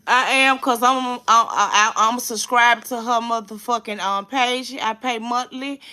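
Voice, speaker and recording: A woman's voice: fairly high pitch, steady tone, a little slow, bright, forceful tone. A woman hosting her own reaction channel, talking to her viewers and moderators in a profane, emphatic, streetwise way. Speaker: commentary channel host. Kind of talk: casual livestream reaction chat.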